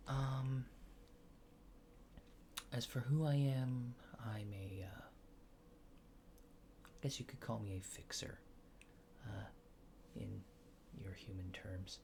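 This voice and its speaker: A man playing a laid-back, faintly apologetic alien fixer in a soft, hesitant, often near-whispered ASMR roleplay. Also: fairly low pitch, steady tone, takes it slowly, creaky, pauses often, a little hiss or hum. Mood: casual, evasive. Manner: hesitant, understated, dryly matter-of-fact.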